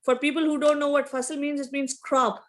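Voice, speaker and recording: A woman's voice: high voice, level tone, fairly quick. A woman student whose first language is Urdu, chatting appreciatively in class with light laughter. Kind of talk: class discussion.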